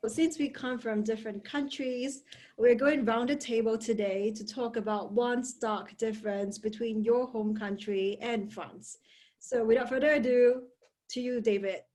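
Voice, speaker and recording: A woman's voice: fairly high pitch, fairly even intonation, measured pace, mellow. A young woman from Singapore hosting a casual group chat on a video call, guiding it with questions. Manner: guides the talk with questions. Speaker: host.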